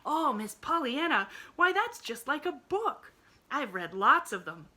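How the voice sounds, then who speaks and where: A woman voicing an excitable young rural housemaid who speaks in a country dialect and gushes breathlessly. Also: sits high, a little slow, pitched above the performer's own voice, heavily acted. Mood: eager gossip.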